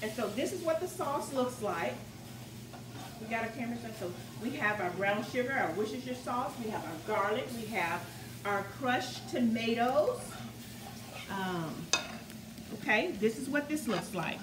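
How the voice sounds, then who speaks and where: A woman's voice: a little high, lively intonation, unhurried. A middle-aged woman hosting a home cooking show, chatting casually and often checking in with viewers with "guys" and "okay?". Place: large home kitchen, indoors. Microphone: camera mic across the kitchen. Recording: roomy, distant, noisy kitchen sound.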